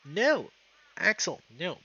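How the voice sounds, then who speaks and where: Young male streamer with a bright voice. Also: high voice, big pitch swings, takes it slowly, creaky, breathy edge, muffled recording. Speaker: live streamer. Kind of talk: casual game stream chat and read-aloud narration.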